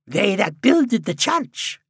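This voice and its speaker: A man voicing a frail, excitable, pious old seaside man in a story, with archaic rustic speech and drawn-out exclamations. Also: high voice, vocal fry, husky, dry recording, studio quality, voice pushed up, exaggerated voice. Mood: ominous, preachy.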